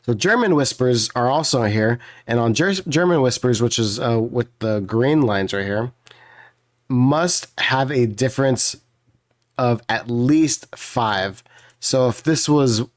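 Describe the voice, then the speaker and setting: A man with a hoarse, strained voice talks through a puzzle video as its host. He explains casually, rambling with lots of fillers and restarts, and keeps apologizing. His pitch sits at an ordinary middle height for a man.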